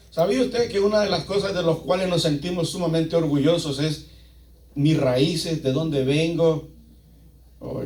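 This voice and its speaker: A man's voice: on the high side, darkish tone. A male pastor with a Latin American Spanish accent preaching emphatically to a congregation, repeating phrases and posing rhetorical questions. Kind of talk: sermon to a congregation. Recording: echoey room, picked up from a distance.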